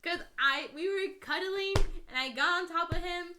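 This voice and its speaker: A woman's voice: very high voice, moderate pace, background noise. A 22-year-old woman hosting a podcast, chatting casually with her boyfriend and giggling through her stories. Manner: chatty, giggly, storytelling with lots of "like". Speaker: podcast host. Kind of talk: casual couple's podcast chat.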